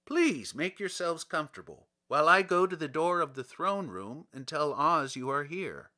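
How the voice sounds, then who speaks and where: Storybook palace guard, played by a male reader: formal, obliging, forceful and bright in tone, slightly high for a man. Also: expressive, moderate pace. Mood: polite, formal. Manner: formal, polite. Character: courteous, dutiful bearded palace soldier, formal and obliging. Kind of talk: storybook character voice.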